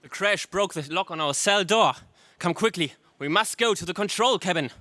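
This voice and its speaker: An actor playing a gallant, fatalistic prince ally in a stagey 1930s space radio serial style. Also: male voice, high voice, normal speed, pushed and bright, no echo. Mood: urgent, hearty.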